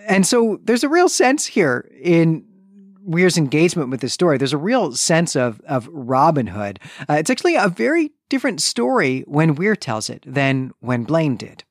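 A man's voice: on the high side, dry recording. Scholarly, informal man, very dry sound. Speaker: podcast co-host, historian. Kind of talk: literary analysis discussion between co-hosts.

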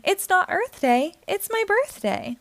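A woman's voice: very high voice, very animated, a little slow, dry recording, voice pushed up, full character voice. A woman voicing a silly, proud young male monkey who insists in a childlike way, for a storybook. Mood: proud, insistent.

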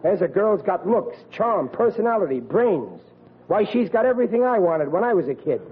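Tinny old-radio blustering working-class New dad. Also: male voice, high voice, big pitch swings, normal speed, very noisy. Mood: indignant, worried. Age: middle-aged. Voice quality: working-class, ungrammatical speech. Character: blustering, fretful working-class riveter father. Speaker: actor in the lead role. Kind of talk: 1940s radio comedy acting.

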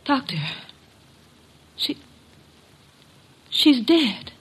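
An actress playing an attentive, earnest young nurse in an old radio drama. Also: female voice, high voice, animated, extremely slow, full character voice. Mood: shaken.

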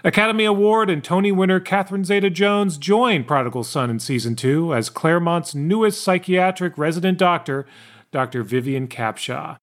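A man's voice: a little high, moderate pace. A man hosting a podcast, chatting loosely with a guest and reading scripted segment intros. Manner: lively, mixes loose chat with read-out intros. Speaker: podcast host.

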